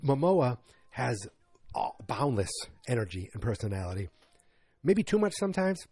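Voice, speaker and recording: A man's voice: medium pitch, big pitch swings, normal speed, a little mellow, breathy edge, no echo. A man hosting a casual solo movie-review podcast, rambling and sarcastic, often interrupting himself. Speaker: podcast host.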